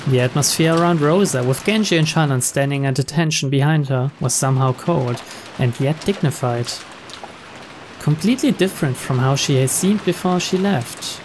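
A man's voice: on the high side, takes it slowly. A man reading scripted story narration aloud. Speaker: reader of on-screen story text. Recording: clear rain noise behind.

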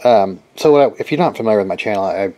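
A man giving a casual, unscripted hands-on demo as a hobby repair channel host, haltingly thinking aloud and repeating phrases. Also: lowish voice, animated, warm tone. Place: cluttered garage workshop with open door. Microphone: handheld camera microphone. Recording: noisy handheld camera in a garage. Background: ambient noise.

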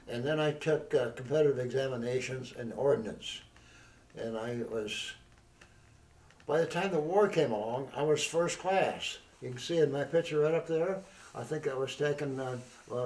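Elderly male interviewee, halting storyteller, distant mic in a roomy space. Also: mid-range voice, a little mellow. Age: older. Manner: rambling, halting recollection with many restarts. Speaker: veteran interviewee. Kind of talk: oral history interview.

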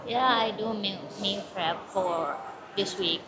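Playful soft-spoken woman in a noisy mall. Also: medium pitch, takes it slowly. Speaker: vlog companion. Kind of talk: casual chat. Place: busy shopping mall.

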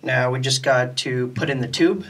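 A man's voice: medium pitch, normal speed, a bit bright. A man giving a casual, step-by-step tutorial in a plain, methodical way. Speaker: music tutorial host.

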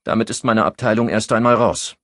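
A man's voice: fairly low pitch, a little fast, a bit bright, dry recording, clean studio sound, pitched above the performer's own voice, lightly acted. A man voicing a blunt, matter-of-fact forensic investigator in an audiobook, listing findings with emphasis. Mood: blunt, resigned. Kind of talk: audiobook character dialogue.

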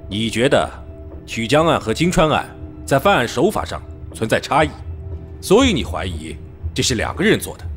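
An actor playing a stern middle-aged police chief in an audio drama, speaking with probing, deliberate authority. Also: male voice, medium pitch. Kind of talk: Chinese audio drama acting.

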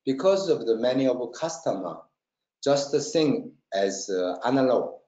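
A man presenting a technical webinar in halting non-native English, checking often that listeners follow. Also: mid-range voice, lively intonation, mellow. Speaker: webinar presenter, company marketing trainer. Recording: roomy, dull lo-fi webinar audio.

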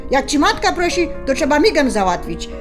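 An actress playing a bossy, nagging mother with a Polish highlander dialect in an audio drama. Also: sing-song, a little fast, over music. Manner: scolding, insistent. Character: bossy, nagging highlander mother fussing over her household.

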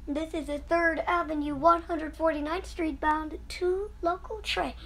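A woman reading scripted subway train announcements in a measured, formulaic manner. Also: high voice, steady tone, a bit bright.

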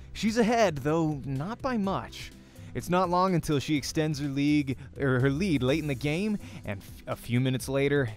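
Man, let's play streamer, expressive story read-aloud, mid-range voice, quiet background music. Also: medium pitch. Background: faint music.

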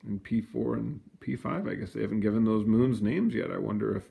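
A man reading a children's storybook aloud in an expressive, explanatory way, with casual asides. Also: lowish voice, brisk, creaky, narrow, tinny audio. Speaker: storybook reader.

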